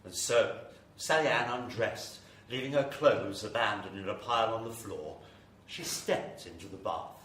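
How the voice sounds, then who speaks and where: An actor playing a suave horror storyteller, narrating in a measured, theatrical way that savours words. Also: male voice, on the low side, a bit bright, lightly acted. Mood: suspenseful. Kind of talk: dramatic scripted horror narration. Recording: echoey, distant live stage, faint noise.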